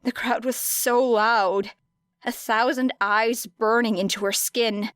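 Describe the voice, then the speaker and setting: A woman reading an audiobook story dramatically, with deliberate, expressive phrasing and weighty pauses. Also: fairly high pitch, no room sound. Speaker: audiobook narrator. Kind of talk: dramatic scripted fiction narration.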